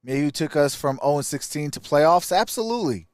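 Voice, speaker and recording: A man's voice: on the high side, animated, a little fast, no echo, studio quality. A man hosting a live sports stream, giving casual, opinionated commentary and answering viewer comments.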